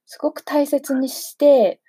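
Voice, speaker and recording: A woman's voice: high voice, measured pace, warm tone. A woman hosting a conversational radio interview, politely and attentively affirming her guest. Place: radio programme recording.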